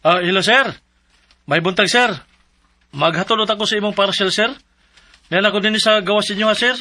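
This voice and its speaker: A man's voice: sits high, moderate pace, sharp tone. A man in his twenties narrating a radio drama and playing a polite delivery rider. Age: 20s. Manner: polite, deferential. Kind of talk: dramatic reading.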